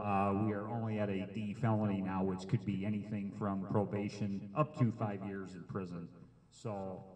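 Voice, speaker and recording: A middle-aged man with a loud, carrying voice gives an informal public presentation in a hesitant, plain-spoken way with frequent pauses. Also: lowish voice, long reverb tail. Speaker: sheriff's detective giving a public safety presentation. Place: meeting room with an audience. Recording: amplified microphone, distant room pickup.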